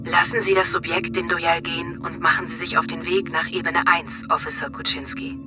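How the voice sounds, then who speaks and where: A performer playing a calm, matter-of-fact predictive policing computer in a radio drama, measured and formal. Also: mid-range voice, over loud music, close to an everyday voice. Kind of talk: radio drama, system announcements.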